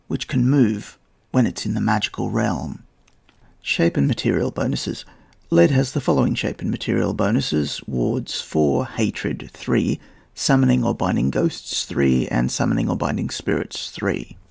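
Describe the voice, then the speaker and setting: Croaky, gravelly-voiced male podcast host. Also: medium pitch. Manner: measured, evocative storytelling delivery. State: slightly croaky voice. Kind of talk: scripted narration on history and tabletop role-playing.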